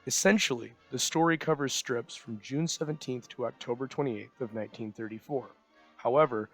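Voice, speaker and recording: A man's voice: medium pitch, a bit bright. A man hosting, reading a scripted introduction in the style of a programme presenter. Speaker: host introducing an old radio episode. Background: music.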